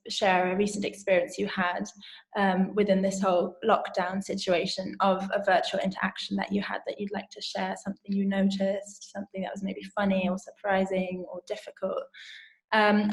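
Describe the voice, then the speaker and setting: Even-toned woman leading an online workshop. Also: mid-range voice, poor audio. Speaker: workshop leader. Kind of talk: informal task instructions. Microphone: video call.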